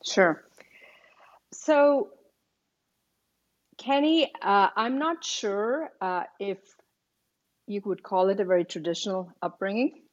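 Female podcast guest raised in Kuwait, mid pitch, measured storyteller, slightly dull recording. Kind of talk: casual podcast interview.

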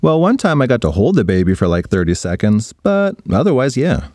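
A man voicing a lazy, carefree young boy who brags in a relaxed way. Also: medium pitch, a little fast, dry recording, studio quality, natural voice. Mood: laid-back. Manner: laid-back, boastful.

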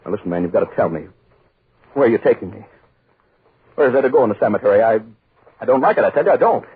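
A man's voice: on the low side, animated, fast talker, slightly rough. An actor playing a pompous, irritable wealthy middle-aged man who blusters, pleads and repeats himself, in 1940s American radio horror style. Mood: frightened, pleading. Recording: muffled old 1940s radio recording.

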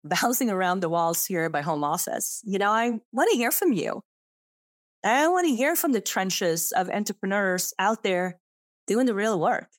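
A woman's voice: mid-range voice, no room sound, studio quality. A middle-aged woman from Sweden with a Swedish accent, a non-native English speaker, hosting a solo podcast from her home office in an earnest, emphatic way. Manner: earnest, emphatic, rising on key words. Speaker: podcast host.

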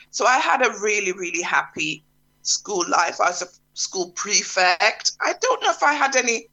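Bright-voiced woman from Jamaica. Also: on the high side, poor audio. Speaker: interview guest. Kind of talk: relaxed conversational interview.

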